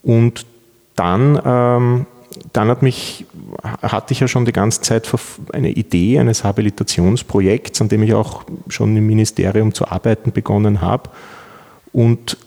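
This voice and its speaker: Gravelly, hesitant Viennese man. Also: lowish voice, steady tone, a little slow, mellow, big-room sound. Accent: Viennese Austrian German. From Vienna, Austria. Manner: hesitant, many restarts and fillers. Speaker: law professor, guest. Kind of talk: interview answer.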